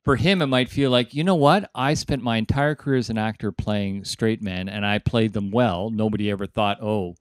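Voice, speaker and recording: A man's voice: lowish voice, normal speed, hardly any pauses, clean tone, dry recording, clean studio sound. A man talking as a podcast panelist in a casual debate among friends, making his points in an emphatic, discursive way.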